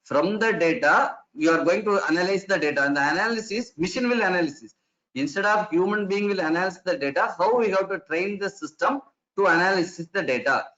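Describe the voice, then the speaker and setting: A man's voice: high-pitched, brisk, sharp tone. A man giving an online lecture to teachers. He explains things step by step, repeats and restarts his phrases, and asks rhetorical questions. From India. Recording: dull lo-fi online session audio.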